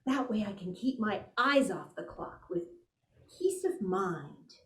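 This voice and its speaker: A dutiful daughter in an old radio commercial. Her voice is highish and swoops in a sing-song, presentational way, taken slowly, with a roomy echo around it. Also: big pitch swings. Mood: sweet, salesy. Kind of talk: 1940s radio commercial acting. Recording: roomy, echoing stage sound.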